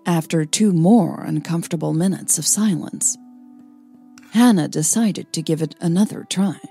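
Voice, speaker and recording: A woman's voice: deep voice, normal speed, gravelly, dark tone, slightly rough, faint music behind. A woman hosting a podcast and narrating a romance story, sounding a little tired. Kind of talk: scripted romance narration and casual podcast outro.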